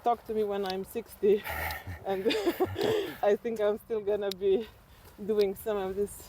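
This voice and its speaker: A woman's voice: mid-range voice, measured pace. A middle-aged woman from Slovenia, chatting casually and reflectively as an interview guest while walking outdoors. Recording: outdoor walk with clear background noise.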